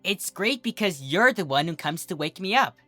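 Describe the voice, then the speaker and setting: A narrator voicing a brash, eager young female pegasus pony with a soft heart, in a cartoon fan fiction reading. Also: mid-range voice, animated, moderate pace, soft background music, pitched above the performer's own voice. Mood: sulky, wistful. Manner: boastful, eager, sometimes sulky.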